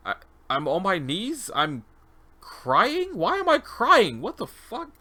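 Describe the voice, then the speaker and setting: A man's voice: sits high, huge pitch swings, slow pace, pushed and bright, voice pushed up, full character voice. A man voicing an agitated male convict test subject unraveling into madness, bewildered and stammering, in a dramatized interview log. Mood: panicked, bewildered.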